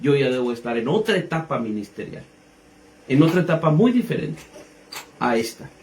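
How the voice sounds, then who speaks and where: A man's voice: mid-range voice, sing-song, moderate pace, a little mellow, slight background noise. A man in his thirties, a pastor, teaching the Bible conversationally, explaining with rhetorical questions and everyday examples. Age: 30s.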